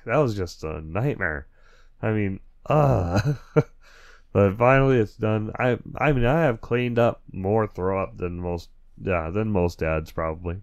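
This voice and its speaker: A man's voice: fairly low pitch, a little mellow. A man hosting his own podcast in a casual, rambling way, talking through a lingering cold with a dry, stuffy throat. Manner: rambling and self-correcting, with chuckles. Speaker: podcast host. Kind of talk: casual solo podcast chat.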